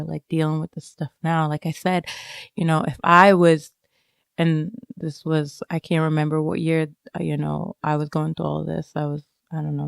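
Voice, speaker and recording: A woman giving a reflective personal interview, speaking haltingly and often dropping in "like" and "you know.". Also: deep voice, gravelly, no echo, studio quality. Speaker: interviewed writer.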